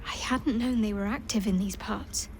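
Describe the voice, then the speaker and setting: An actress playing a guarded, secretive young woman cleric in a video game, speaking in a measured, reserved, faintly wry way. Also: medium pitch, a little mellow, faint music behind, close to an everyday voice. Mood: wry, curious.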